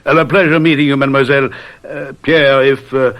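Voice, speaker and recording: An actor playing a shrewd, teasing, self-assured detective in an old radio mystery, with musing pauses and condescending courtesy. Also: male voice, mid-range voice, steady tone, fairly bright tone, close to an everyday voice.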